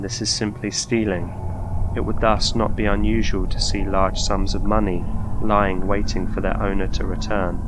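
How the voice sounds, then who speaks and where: A man with a very low voice narrates a scripted ghost story. His delivery is measured and formal, like a documentary storyteller. There is clearly audible noise behind his voice.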